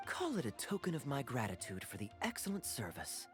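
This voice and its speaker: An actor voicing a suave, easygoing adventurer in a video game, with playful charm and teasing, smooth phrasing. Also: male voice, medium pitch, wildly swooping pitch, fairly quick, over music. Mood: suave, courteous.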